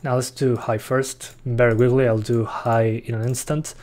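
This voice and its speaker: A man's voice: mid-range voice, moderate pace. Man streaming a shooter. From Uruguay. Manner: relaxed, chatty, reads chat aloud. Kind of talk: live gameplay commentary. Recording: faint gunfire behind voice.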